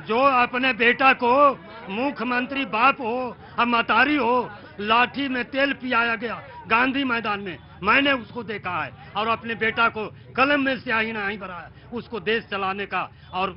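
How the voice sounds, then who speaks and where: A man's voice: extremely high pitch, a little slow. A man airing an aggrieved complaint at a street vox pop. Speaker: dismissed worker voicing grievance. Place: outdoor street crowd. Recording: dull outdoor audio with crowd. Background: faint crowd.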